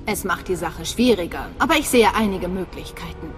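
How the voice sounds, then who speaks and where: An actress playing a cool, businesslike imperial agent with clipped delivery in a video game. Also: female voice, on the low side, expressive, fairly quick, close to an everyday voice. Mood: cool, focused. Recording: long reverb, faint music behind.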